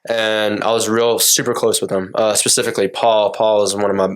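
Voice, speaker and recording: A man's voice: lowish voice, flat delivery, fairly quick. A man in a casual chat, telling personal stories in an informal, sweary way and acting out quoted dialogue. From United States, Cherokee reservation. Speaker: podcast speaker telling personal experiences.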